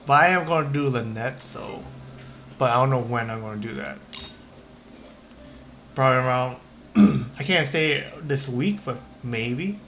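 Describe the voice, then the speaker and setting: A man's voice: mid-range voice, a little slow, pauses often, fairly bright tone, a little hiss or hum. A man giving casual, rambling gameplay commentary as a streamer, hesitating and repeating his words.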